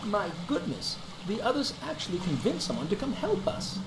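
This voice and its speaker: A man plays a jittery, genteel video game character. His voice is very high and animated, fretful and polite. The recording is roomy, with loud vehicle noise behind. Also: moderate pace, exaggerated voice. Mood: anxious. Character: anxious, nervy, polite man desperate to leave.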